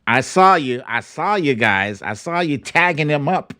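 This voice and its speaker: A man's voice: on the high side, lively intonation, unhurried, bright, forceful tone. A man from Brooklyn hosting a livestream talk show, chatting informally and slangily straight to his viewers. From Brooklyn, New York. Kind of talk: casual livestream chat with viewers.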